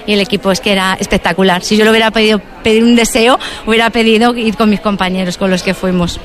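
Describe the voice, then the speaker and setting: A woman's voice: medium pitch, fairly even intonation, fast talker. A woman emergency nurse from Madrid, an interview guest, telling her story in an earnest, modest way. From Madrid, Spain.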